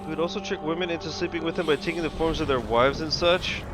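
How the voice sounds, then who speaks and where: A man chats casually as he reacts on a livestream. His voice sits fairly high and his delivery is irreverent and slangy. Game music is clearly audible behind him. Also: steady tone, fast. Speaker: streamer reacting to game cutscenes.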